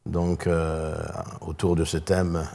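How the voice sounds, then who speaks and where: A pastor in his sixties, raised in Congo, recounts his past in a television studio. His voice sits very low. He speaks in measured steps, broken by frequent filler pauses. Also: flat delivery, warm tone. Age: 60s. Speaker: pastor guest. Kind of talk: hesitant, thoughtful recounting.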